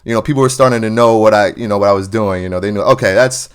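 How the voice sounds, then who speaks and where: A young man, a singer, chatting casually in an interview, relaxed and full of "you know" fillers, laughing often. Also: on the low side, rapid-fire, clear, resonant tone. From New Jersey or New York area. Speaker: guest singer.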